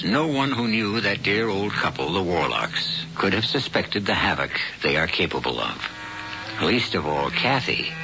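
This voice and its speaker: A man's voice: on the low side, background noise. A man hosting and narrating an old radio mystery drama in a theatrical, ominous manner. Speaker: host narrator. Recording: lo-fi old radio broadcast with music.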